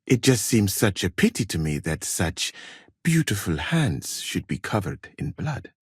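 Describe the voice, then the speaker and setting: An actor playing a courteous, learned physician from Mali in a medieval video game, speaking in formal, measured phrases with gentle gallantry. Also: male voice, lowish voice, very animated, slightly rough, dry recording, clean studio sound, full character voice. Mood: gentle, admiring.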